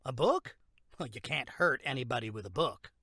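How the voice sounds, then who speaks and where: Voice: medium pitch, expressive, normal speed, bright, forceful tone, slightly rough, no room sound, studio quality, heavily acted. A voice actor playing a lazy, folksy, condescending older small-town sheriff in a horror audio drama. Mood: folksy, patronizing. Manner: drawling, folksy, dismissive.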